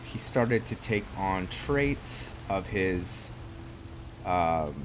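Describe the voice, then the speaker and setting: A man giving casual game commentary, explaining lore and thinking aloud in a halting way. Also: deep voice, animated, noisy background. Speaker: gamer narrating his roleplay playthrough. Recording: music behind the voice. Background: game music and game characters.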